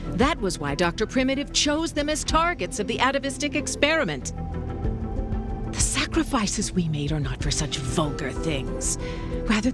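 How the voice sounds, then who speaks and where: A woman's voice: medium pitch, natural voice. An actress playing a cold, fanatical female research scientist in a video game, speaking in a theatrical, condescending, oratorical way. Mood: scornful, fervent. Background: game music.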